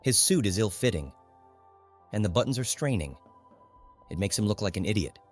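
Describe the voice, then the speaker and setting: Male narrator, low voice, grave and measured literary read, faint music behind. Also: expressive. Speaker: audiobook narrator.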